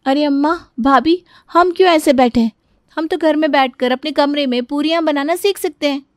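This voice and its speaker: Woman playing a very high-pitched young daughter-in-law. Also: moderate pace, no echo, clean studio sound, natural voice. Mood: eager. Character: young daughter-in-law, earnest, pleading, eager. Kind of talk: Hindi cartoon story voice acting.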